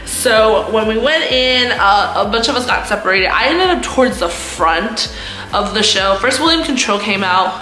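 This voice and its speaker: A woman in her twenties telling a casual story to camera as a vlogger, with a loud voice and a giggly, self-mocking manner. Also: a little high, lively intonation, normal speed, roomy sound, faint music behind, rough recording. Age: 20s. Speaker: vlogger, host of own channel.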